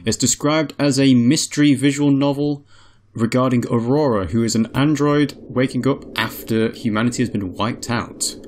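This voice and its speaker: A man's voice: mid-range voice, moderate pace. A man hosting a let's play, reading a visual novel aloud expressively. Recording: music behind the voice.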